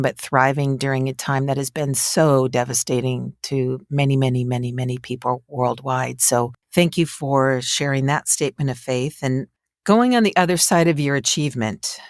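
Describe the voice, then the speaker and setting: Deep-voiced woman podcast host, dry sound. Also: darkish tone, dry recording. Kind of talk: conversational interviewing.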